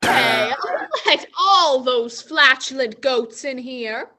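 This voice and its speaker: Young american girl doing a plucky radio-play heroine, high bright voice, brash and stagey. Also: slow, full character voice. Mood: brisk, brash. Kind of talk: theatrical comedy acting.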